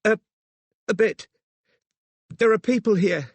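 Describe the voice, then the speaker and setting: A shaken young woman with an injury, performed by a male narrator in an audiobook. The voice is lifted high, pained and gasping, with a feisty, exasperated edge. It is recorded very dry. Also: slow pace, vocal fry, no echo, full character voice. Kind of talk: audiobook character acting.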